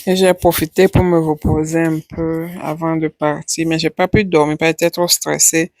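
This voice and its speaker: Chatty woman rambling in a flat voice. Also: sits low, normal speed, dry recording, clean studio sound. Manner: chatty and rambling, repeats words. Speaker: travel vlogger. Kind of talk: casual vlog voice-over.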